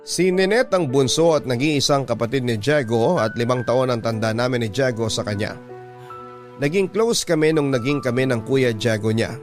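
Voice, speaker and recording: A man's voice: medium pitch, moderate pace, lightly acted. A man with a Filipino accent narrating as a wistful letter sender recounting lost love in a radio drama. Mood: wistful, reflective. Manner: reflective, sentimental. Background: music.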